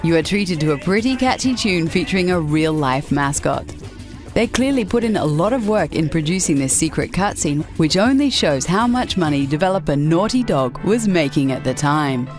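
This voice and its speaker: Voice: medium pitch, animated, normal speed, music in the background. A narrator reading a scripted video game countdown as a voice-over.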